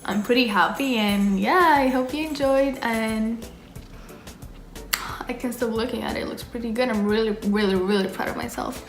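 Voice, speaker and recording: A woman's voice: on the high side, normal speed. A young woman from the Dominican Republic, a native Spanish speaker, chatting casually through a makeup tutorial and swearing offhand now and then. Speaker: beauty vlogger. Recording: echoey room with background music.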